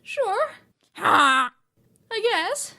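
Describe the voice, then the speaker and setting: A woman voicing a timid, squeamish, anxiously obedient lady with a hesitant, whimpering delivery in a dark comedy audio drama. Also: very high-pitched, very animated, drawn-out words, gravelly, full character voice. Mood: queasy, dismayed.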